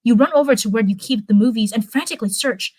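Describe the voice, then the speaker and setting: A woman's voice: a little high, fast talker, a little mellow, breathy edge, dry recording. A woman reading a story aloud as narration, now and then stumbling over a word and going back over it. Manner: stumbles over words and rereads them. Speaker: live stream host reading fan fiction.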